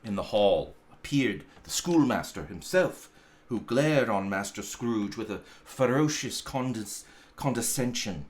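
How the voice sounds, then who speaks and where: A man reading a classic story aloud as narrator, with deliberate, dramatic phrasing. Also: on the low side, lively intonation.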